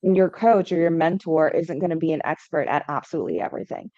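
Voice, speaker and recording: A young adult woman from Hawaii speaks as a guest founder in a podcast interview. Her voice sits low and mellow, and she talks conversationally, often adding "um" and "right?". The recording is dry and a little dull. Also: expressive, quick pace, no room sound. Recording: dull lo-fi sound.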